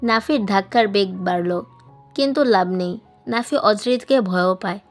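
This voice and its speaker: A woman's voice: mid-range voice, normal speed, faint music behind. A woman reading a novel aloud as a scripted audiobook narration.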